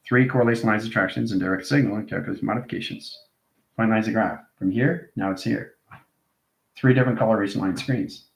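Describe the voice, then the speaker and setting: A man giving an improvised esoteric lesson as an instructor, chanting out strings of technical-sounding phrases in an incantatory way. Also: fairly low pitch. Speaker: instructor, channel presenter. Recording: echoey, reverberant room sound.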